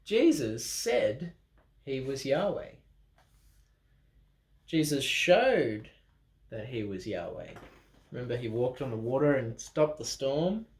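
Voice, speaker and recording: A man reading a children's Bible story aloud in an animated way, with dramatic pauses, expressive emphasis and playful asides. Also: medium pitch. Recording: roomy, echoing room sound.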